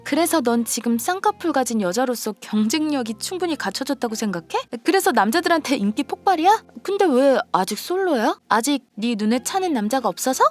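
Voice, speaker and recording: A woman voicing a young woman who answers her friend calmly and firmly, in an audio drama with light background music. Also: sits high, a little fast, few pauses. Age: young adult. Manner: calm, firm, reasoning. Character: calm young woman, Chorong, who lost weight.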